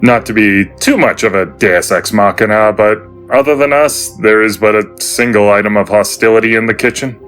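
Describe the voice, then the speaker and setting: A man playing a calm, measured craftsman. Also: lowish voice, soft background music, close to an everyday voice. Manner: measured, deliberate, understated. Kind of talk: audio drama acting.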